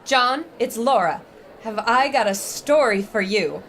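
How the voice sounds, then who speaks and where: An intrepid 1920s newswoman proclaims in a high, plucky voice in classic radio drama style. She sounds determined and eager. A clear bed of ambient noise sits behind her. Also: lively intonation, measured pace. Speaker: actor in audio drama. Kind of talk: old-time radio drama acting.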